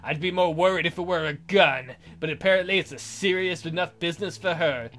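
A man's voice: on the high side, a little fast, fairly bright tone. A man doing a let's play, reading visual novel narration aloud. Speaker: let's player.

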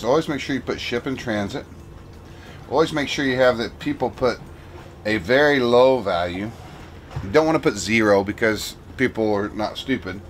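A man's voice: medium pitch, a little hiss or hum. A man in his fifties, plainspoken and chatty, explaining a repair job inside a boat cabin. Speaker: sailing vlogger. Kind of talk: casual how-to narration.

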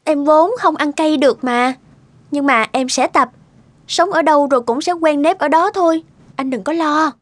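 A woman's voice: high-pitched, moderate pace, a little hiss or hum, natural voice. A woman playing a meek, eager-to-please young newlywed in a cartoon, speaking politely and hesitantly. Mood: reassuring. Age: young adult. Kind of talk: cartoon dialogue. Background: background music.

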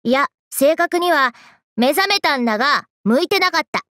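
A woman's voice: high voice, animated, moderate pace, a bit bright, dry recording, studio quality. A woman voicing a brash, playful, hyper young woman from an anime game, with cocky, slangy, teasing delivery. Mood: excited, boastful. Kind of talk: Japanese anime game character voice lines.

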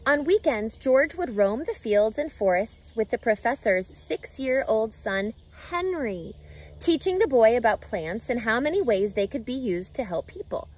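A woman in her thirties reading a children's book aloud outdoors, with an expressive, teacherly delivery that emphasises key words. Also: a little high, a little slow. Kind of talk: animated children's picture-book read-aloud. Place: outdoors, grassy lakeside yard. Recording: outdoor ambient background noise.

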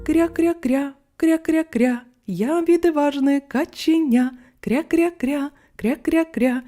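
Woman as a cocky, high-voiced little duckling. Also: warm tone. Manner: boastful, childlike, emphatic. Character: cocky little male duckling, boastful and quarrelsome. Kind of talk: storybook character voice.

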